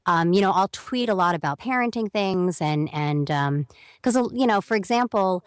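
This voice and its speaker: A woman's voice: fairly low pitch, animated, moderate pace, no room sound, clean studio sound. A young woman speaking as a guest on an interview podcast, explaining at length in a chatty way and acting out quoted messages. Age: young adult. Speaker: voiceover talent guest.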